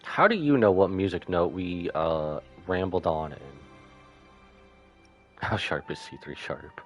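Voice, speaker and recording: A man's voice: low voice, expressive, a little slow. A man giving casual, joking live commentary as he streams a video game. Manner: joking, offhand. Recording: faint game music behind.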